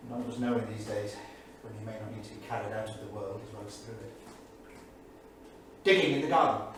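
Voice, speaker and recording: A man's voice: fairly low pitch, brisk, lightly acted. Man playing a bitter 1930s diarist, echoey and distant. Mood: grimly wry. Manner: literary, sardonic, declamatory. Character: bitter, erudite 1930s English diarist, darkly witty. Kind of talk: staged dramatic reading of a journal. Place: theatre studio stage, far microphone. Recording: distant echoey theatre studio, faint noise.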